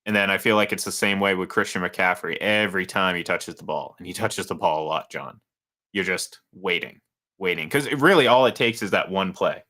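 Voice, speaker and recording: A man's voice: deep voice, a little fast, pushed and bright. A man in his thirties co-hosting a casual sports talk show, rambling with many restarts and fillers. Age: 30s. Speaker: sports radio co-host.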